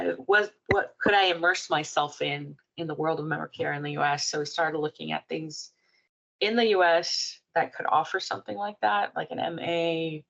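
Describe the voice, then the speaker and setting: Brazilian woman in her 40s, Portuguese native, low with vocal fry, slightly muffled recording, reflective interview guest. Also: fairly bright tone. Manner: thoughtful, many fillers and restarts. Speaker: clinical psychologist guest. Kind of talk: podcast interview, personal story.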